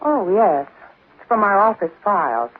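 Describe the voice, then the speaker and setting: A woman's voice: medium pitch, big pitch swings, normal speed, husky. An actress playing a guarded, uneasy businesswoman, polite and hesitant, in 1940s radio drama style. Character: uneasy, guarded businesswoman hiding her nerves. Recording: tinny, faintly noisy 1940s radio recording.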